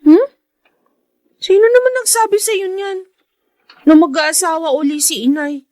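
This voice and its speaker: Young elder sister, high pitch, dry studio sound, caring, inquisitive, radio drama. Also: female voice, steady tone, a little slow, darkish tone, no room sound. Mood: questioning, firm. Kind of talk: Filipino radio drama acting.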